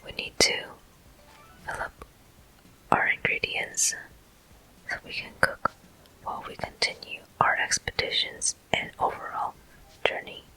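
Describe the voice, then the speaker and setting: Slow, bright ASMR whisper, game commentary. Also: rough recording. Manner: soft, deliberate, frequent pauses. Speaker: host of gameplay walkthrough.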